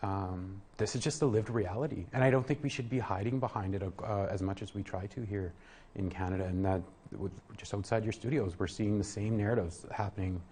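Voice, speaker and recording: Earnest man, lowish voice. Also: mellow, slightly rough. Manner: earnest, halting with restarts. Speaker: guest, program worker. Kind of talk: interview answers.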